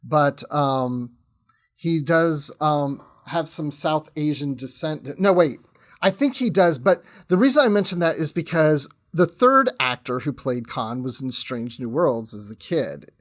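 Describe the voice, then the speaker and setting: A man's voice: on the high side, animated, measured pace. A man chatting as a guest in a casual fan podcast discussion, conversational with tentative asides.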